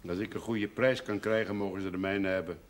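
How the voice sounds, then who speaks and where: An older man playing a gruff, stubborn farmer and father, curt and grumbling, in a period television drama. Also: deep voice, steady tone, a little fast, lightly acted. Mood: resigned. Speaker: actor in a television drama series. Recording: noisy old television soundtrack.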